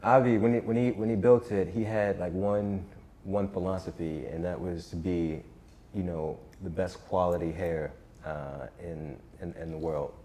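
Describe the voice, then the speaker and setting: A man's voice: low-pitched, fairly even intonation, moderate pace, warm tone, a little hiss or hum. A man hosting a casual interview, often repeating words as he starts his sentences. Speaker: host, interviewer.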